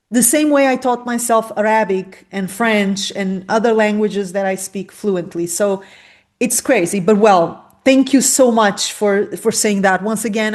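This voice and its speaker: A woman who grew up speaking Portuguese and Spanish, hosting a podcast interview and talking openly and expressively. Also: mid-range voice. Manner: expressive, talks through her feelings openly. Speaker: podcast host. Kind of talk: conversational interview.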